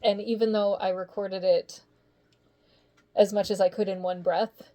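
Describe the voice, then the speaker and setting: A woman's voice: mid-range voice, level tone, unhurried, mellow. A woman voice actor chatting casually on a video call, thinking aloud about recording her lines. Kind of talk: casual group interview.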